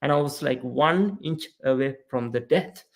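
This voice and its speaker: A man's voice: medium pitch, moderate pace. A man from Sri Lanka in his thirties, a guest on a podcast, telling his story earnestly and often asking "right?". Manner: earnest, animated, frequent "right?" and "you know". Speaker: podcast guest, mindset coach. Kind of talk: casual storytelling interview.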